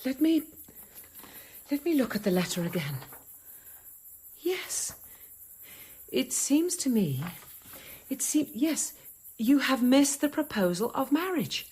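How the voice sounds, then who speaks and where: Gentle, coaxing nun. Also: female voice, high voice, big pitch swings, takes it slowly, pauses often, slightly rough, full character voice. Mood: eager, coaxing. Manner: gentle, persuasive, soothing. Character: caring, coaxing convent nun nursing a starving girl. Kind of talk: radio drama acting and first-person narration.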